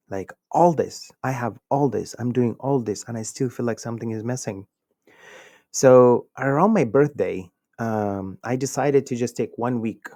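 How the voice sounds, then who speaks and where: A man in his forties from Egypt, a podcast guest telling a personal story in an animated way, chuckling often. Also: medium pitch, no room sound, clean studio sound. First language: Egyptian Arabic. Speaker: podcast guest, communication researcher. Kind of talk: conversational storytelling.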